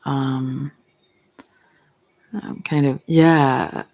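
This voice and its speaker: A middle-aged woman with a Swedish accent talks softly and intimately, as if thinking aloud for an ASMR audio. Her voice has a heavy creak. She wanders and trails off mid-thought, recorded close up with no room sound. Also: rumbling low, steady tone, very slow, no echo. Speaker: ASMR creator and tarot reader. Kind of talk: unscripted reflective ASMR monologue.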